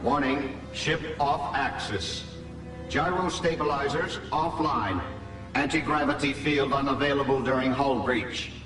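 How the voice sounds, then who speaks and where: A man's voice: mid-range voice, fairly even intonation, a little slow, lightly acted. Echoey, impassive spaceship computer played by a man. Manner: formal, clipped announcing. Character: impassive male spaceship computer announcing malfunctions. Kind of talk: video game system announcements. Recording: echoey, distant ship announcement over music.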